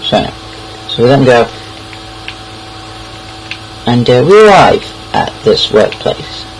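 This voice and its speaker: A man's voice: medium pitch, big pitch swings, takes it slowly. Young British man storytelling over noisy audio. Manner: hesitant, rambling, repeats words. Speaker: online storyteller. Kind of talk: casual anecdotal storytelling.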